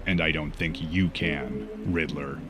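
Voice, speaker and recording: A man's voice: extremely low pitch, unhurried. A man voicing a brooding, stern masked vigilante in a comic fan dub, terse and grave. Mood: grim, commanding. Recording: music bed behind.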